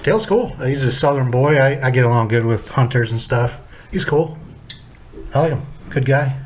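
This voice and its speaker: A man's voice: mid-range voice, normal speed, a little hiss or hum. A man about forty, chatting bluntly on a podcast as a guest, swearing freely and often repeating himself. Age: 40s. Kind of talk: casual podcast chat.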